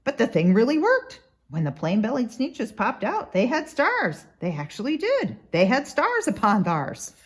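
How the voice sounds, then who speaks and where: A woman giving an expressive, rhythmic and dramatic narration of a children's storybook. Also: a little high, unhurried, hardly any pauses. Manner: rhythmic, dramatic read-aloud. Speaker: doctor reading a children's book.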